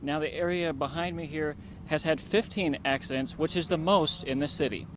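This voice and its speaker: A man's voice: a little high, moderate pace. Man reporting TV news over traffic noise. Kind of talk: scripted broadcast news reporting.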